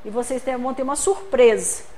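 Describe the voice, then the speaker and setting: A woman's voice: high voice, brisk, mellow. A Brazilian woman giving a lecture at a spiritist center, explaining patiently with homely examples and often checking in with the audience.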